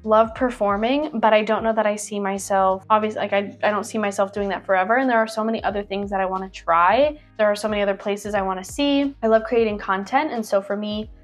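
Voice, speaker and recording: A young woman in her twenties talks in an easy, chatty Q&A style, reading each question aloud and answering it loosely with plenty of fillers. Her voice sits at an ordinary middle pitch. It is close and clear on a clip-on mic in a small bedroom, with light music faint underneath. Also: fast talker, hardly any pauses. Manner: chatty, reads questions aloud then answers freely, frequent "like" and laughs. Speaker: cruise ship performer and vlogger. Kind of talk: casual Q&A to camera. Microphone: clip-on lapel mic.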